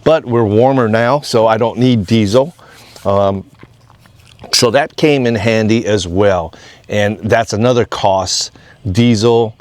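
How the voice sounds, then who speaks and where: Man, American accent, lowish pitch, casual unscripted vlog talk, plain-spoken, rambling explanations. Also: takes it slowly, a little mellow, no echo. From Hawaii, United States. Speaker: off-grid homesteading vlogger.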